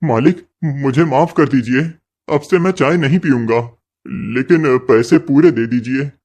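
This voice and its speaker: A man voicing a poor, meek labourer husband in an animated moral story, gentle and apologetic. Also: medium pitch, a little slow. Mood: pleading, apologetic. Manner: gentle, pleading and apologetic toward his boss.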